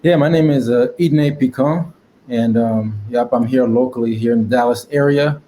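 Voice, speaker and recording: A man's voice: medium pitch, moderate pace, warm tone, slight background noise. A man with an American accent, telling his story casually as a podcast guest. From Connecticut, United States. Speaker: podcast guest, cybersecurity professional.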